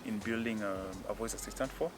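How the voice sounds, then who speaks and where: A man explaining a technical process outdoors in a hesitant, measured way, with many fillers. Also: on the low side. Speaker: guest expert. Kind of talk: casual explanatory interview. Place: outdoors, windy.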